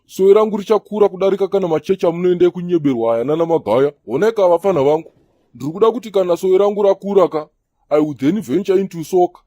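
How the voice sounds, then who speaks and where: A man's voice: high voice, fast talker, dark tone, no room sound, muffled recording. A man voicing a preachy older Zimbabwean prophet in a comedy cartoon, holding forth to the younger men like an elder. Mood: grandiose. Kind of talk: comedy cartoon dialogue in Shona mixed with English.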